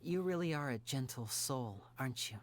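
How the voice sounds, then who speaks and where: Man playing a soft-spoken, melancholy young stage magician. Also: medium pitch, animated, close to an everyday voice. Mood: gentle, sombre. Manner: soft-spoken, reflective, measured theatrical delivery. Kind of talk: scripted dramatic game dialogue. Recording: music playing under the voice.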